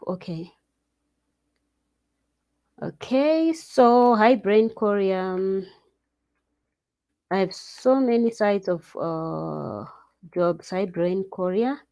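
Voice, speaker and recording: A woman's voice: lowish voice, darkish tone. A woman vlogger giving job-hunting advice to viewers in a loose, casual way, with restarts and asides. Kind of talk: casual advice talk to camera. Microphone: screen-share recording.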